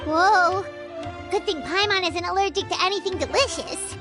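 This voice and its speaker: A woman voicing a tiny, excitable, childlike fairy companion in a video game, with a squeaky voice and an exclamatory manner. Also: moderate pace. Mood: relieved, amazed. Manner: talks about herself in third person, exclamatory. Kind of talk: animated video game voice acting. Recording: loud game music behind.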